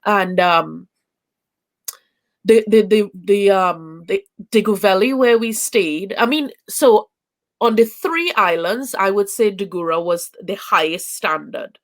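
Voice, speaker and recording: A woman's voice: mid-range voice, slow pace. A woman from Trinidad, a young adult and a traveling parent, chats as an interview guest, full of fillers and restarts. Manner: chatty, many fillers and restarts. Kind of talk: casual video chat. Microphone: video call.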